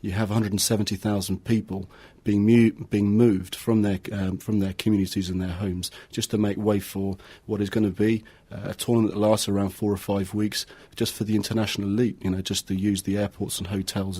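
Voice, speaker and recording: Low, husky, even-toned male interview guest. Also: a little fast, hardly any pauses. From Argentina. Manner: earnest, with frequent repetition. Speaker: project assistant manager, guest. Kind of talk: explanatory radio interview.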